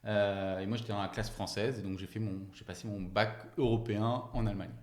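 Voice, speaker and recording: A man's voice: fairly low pitch, fairly even intonation, a little fast, darkish tone. A man in his late thirties answering casually as an interview guest, hesitating and repeating words as he thinks. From France and Germany. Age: late 30s. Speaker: company founder, podcast guest. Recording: roomy, echoing interview sound.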